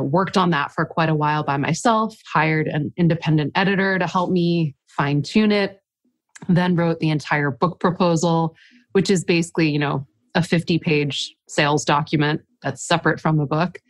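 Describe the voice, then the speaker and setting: A woman's voice: deep voice, no room sound. A woman author and coach, slightly stuffy from a cold, telling her story earnestly as a podcast guest. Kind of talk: casual podcast interview.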